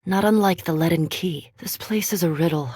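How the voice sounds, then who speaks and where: Erudite vampire scholar, female, fantasy game. Low, breathy-edged voice, precise formal delivery, cool curiosity, close dry recording. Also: normal speed, darkish tone, dry recording. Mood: measured, scholarly. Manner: formal, precise, dryly intellectual. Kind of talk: scripted fantasy game dialogue.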